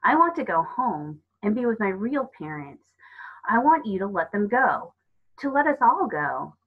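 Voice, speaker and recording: A woman's voice: medium pitch, big pitch swings, measured pace, husky, narrow, tinny audio, pitched above the performer's own voice. A woman voicing a brave, defiant young girl from a storybook, firm, blunt and stubborn.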